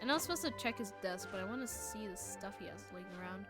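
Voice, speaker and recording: A woman livestreaming a game with casual commentary, muttering asides and haltingly reading text aloud, correcting herself as she goes. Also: mid-range voice, lively intonation. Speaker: live game streamer. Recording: music playing behind the voice. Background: game music.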